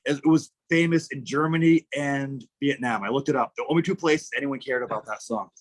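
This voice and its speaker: A man from southern Massachusetts with a South Shore accent, telling stories casually on a podcast. His voice is husky and mid-pitched. He slips into other people's voices when he quotes them. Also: fairly quick. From southern Massachusetts, USA. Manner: animated storyteller acting out quoted voices. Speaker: podcast guest. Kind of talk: casual storytelling interview.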